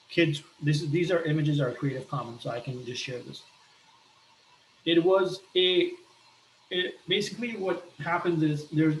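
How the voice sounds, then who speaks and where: A man from Pakistan talking earnestly about his life, pausing often and restarting his sentences. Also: fairly high pitch, echoey room, slight background noise, poor audio. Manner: hesitant, earnest, many restarts and fillers. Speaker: entrepreneur sharing a personal appeal. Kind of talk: personal talk with shared images.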